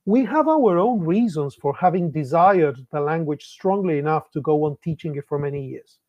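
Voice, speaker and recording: A man's voice: a little high, animated, normal speed, dry recording, muffled recording. A middle-aged man from Argentina, a Spanish speaker, giving an online talk to English teachers in a reflective way, posing many rhetorical questions.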